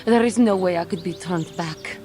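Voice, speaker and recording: A tormented fallen Jedi woman played by an actress speaks in a deep, low female voice. She is proud and bitter, theatrical and intense in the manner of video game drama, over clearly audible music. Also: moderate pace, lightly acted. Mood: bitter, despairing. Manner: intense, theatrical, formal phrasing.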